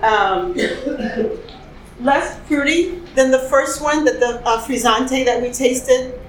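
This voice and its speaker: An enthusiastic woman leads a wine tasting, chatting and asking the group what they notice. Her voice sits a touch high. The room sounds echoey, with a faint hum behind. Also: slow. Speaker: host leading a wine tasting. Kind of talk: casual tasting chat.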